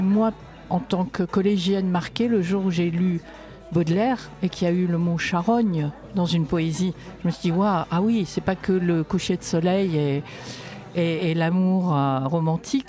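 A woman's voice: fairly low pitch, normal speed, warm tone, faint music behind. Reflective, light-hearted woman poet. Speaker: poet and writing workshop leader. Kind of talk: conversational interview answers.

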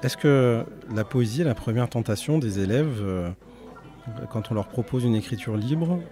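A man interviewing poets, asking long, measured, winding questions. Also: medium pitch, animated, warm tone, soft background music. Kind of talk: radio-style interview questions.

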